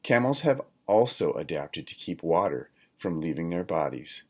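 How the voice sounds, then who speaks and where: A man reading a children's nonfiction book aloud in a deliberate, instructional manner, like a teacher. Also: low-pitched, sing-song, slightly rough. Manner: deliberate, instructional, poses questions to listeners. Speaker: read-aloud reader, teacher.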